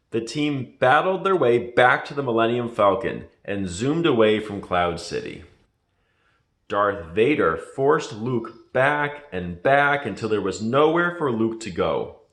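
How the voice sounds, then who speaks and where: A man reading a children's story aloud as a scripted narration, in a dramatic, deliberate manner. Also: medium pitch, fairly bright tone. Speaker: storybook reader. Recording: echoey room.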